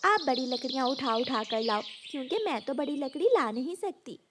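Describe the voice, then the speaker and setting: Woman acting a clever female bird, high pitch, animated, firm, polite, faint background noise. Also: moderate pace. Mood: friendly, cunning. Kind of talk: Hindi children's cartoon story acting.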